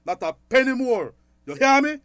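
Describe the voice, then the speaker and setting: Stern high-voiced angry father, swooping pitch. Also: sing-song, normal speed. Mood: angry, scornful. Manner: harsh, commanding, unyielding. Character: stern angry father cutting off his grown daughter. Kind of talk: radio drama acting.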